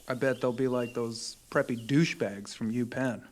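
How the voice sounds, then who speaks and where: A man's voice: medium pitch, normal speed, a little hiss or hum, lightly acted. An actor playing a crude, mouthy teenage boy who is vulgar and sarcastic. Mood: mocking. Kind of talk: audio drama acting.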